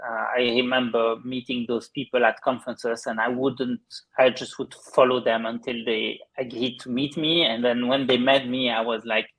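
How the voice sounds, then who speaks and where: A Belgian man whose faint accent barely shows in his otherwise smooth English. His voice sits mid-pitch, and he speaks as a candid, upbeat founder sharing lessons in a relaxed interview. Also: normal speed, hardly any pauses, low-quality recording. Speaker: startup founder guest. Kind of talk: casual interview chat.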